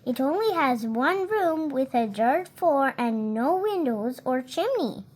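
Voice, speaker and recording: A young reader slowly and carefully reading a picture book aloud, now and then stumbling on a word and fixing it. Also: female voice, high-pitched, very animated. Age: child. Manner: slow careful reading with small slips and self-corrections.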